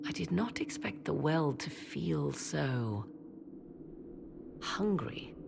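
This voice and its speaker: An actress voicing a proud, ambitious witch and scholar of ancient lore in a fantasy game, speaking formally and with imperious, measured persuasion. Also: low voice, expressive, full character voice. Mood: grave, explaining. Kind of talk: dramatic fantasy game dialogue. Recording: clear ambient noise behind voice.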